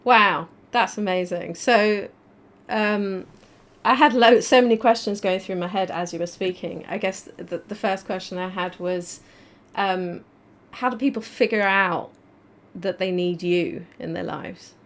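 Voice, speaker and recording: A woman's voice: medium pitch, steady tone, measured pace. A woman hosting a live interview over a video call, friendly and chatty, with many "like" fillers. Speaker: host. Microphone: video call mic.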